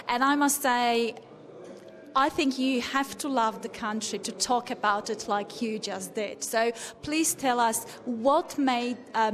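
Woman, interviewer, higher voice, brisk direct questions.

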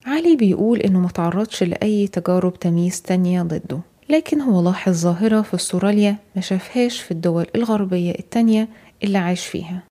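A woman with an Egyptian Arabic accent, a radio presenter reading scripted feature narration in a clear, steady way. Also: fairly low pitch, quick pace.

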